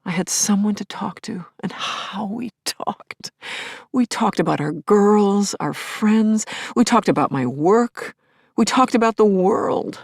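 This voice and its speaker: A woman playing a middle-aged grieving widow in an audio play, telling her story as an intimate, expressive and reflective monologue. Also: mid-range voice, takes it slowly, gravelly, no room sound, studio quality, exaggerated voice. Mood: animated, reflective. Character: grieving widowed scientist, wistful, wry, tender, anxious. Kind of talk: intimate dramatic first-person monologue.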